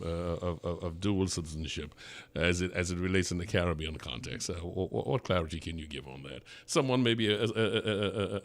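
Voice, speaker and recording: A middle-aged man hosting a radio interview in a studio, asking measured, probing questions and affirming his guest. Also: low-pitched. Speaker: radio host. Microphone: studio microphone, headphones.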